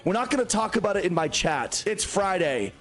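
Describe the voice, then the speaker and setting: A man's voice: sits high, very animated, a little fast, voice pushed up. A man putting on the voice of an obnoxious, dismissive male streamer in an exaggerated, sing-song mocking tone.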